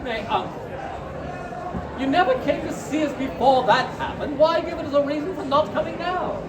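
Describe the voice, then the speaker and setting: Bright-hearted young nephew, played by a man for a storybook: high-pitched, exclamatory, sincere, recorded distantly in a crowd. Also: moderate pace. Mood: pleading. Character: cheerful, earnest, warm-hearted young nephew. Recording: echoey crowded fair hall, distant noisy mic.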